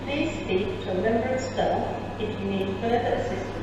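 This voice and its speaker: A woman's voice: medium pitch, moderate pace, distant mic. A woman with a British accent making formal, automated railway platform announcements in segmented phrases over a station's public address system. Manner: formal, segmented phrasing pieced from recorded fragments. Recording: echoey station tannoy from afar, loud noise. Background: station ambience, train and vehicle noise.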